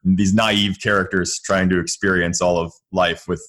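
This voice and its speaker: Low-pitched man chatting as a guest. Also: level tone, normal speed, a bit bright. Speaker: podcast guest, comedy writer. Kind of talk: casual interview chat.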